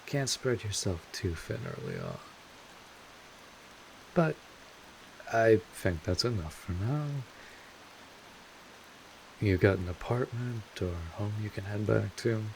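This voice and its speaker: Low, slow-talking streetwise vampire mentor, played by a man. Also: very animated, gravelly, slight background noise, exaggerated voice, poor audio. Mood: instructive, matter-of-fact. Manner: rambling, informal, mildly exasperated, explanatory. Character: gruff but caring streetwise male vampire mentor. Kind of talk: scripted audio roleplay addressed to the listener.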